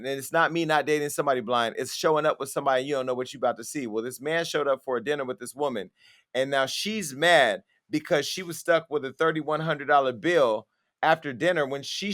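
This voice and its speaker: A man hosts a talk show and tells stories in a chatty way, full of quick asides. His pitch sits in the ordinary middle range. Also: fairly bright tone. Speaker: host. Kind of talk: casual talk-show chat.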